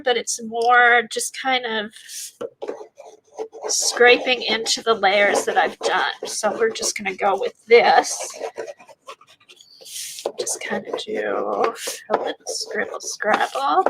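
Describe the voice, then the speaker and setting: Slow-talking stuffy-nosed woman crafter. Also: on the high side, vocal fry, background noise. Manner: rambling, thinking aloud while working. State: congested from a sinus infection. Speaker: art livestream host. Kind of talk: casual art demonstration.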